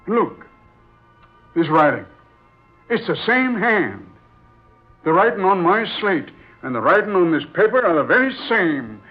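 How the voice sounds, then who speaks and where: An actor playing a sober, skeptical ship's captain with a terse, pondering manner in a 1940s radio drama. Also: male voice, sits high, big pitch swings, unhurried, long pauses, soft background music, full character voice. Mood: intent amazement. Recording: tinny, band-limited old radio broadcast.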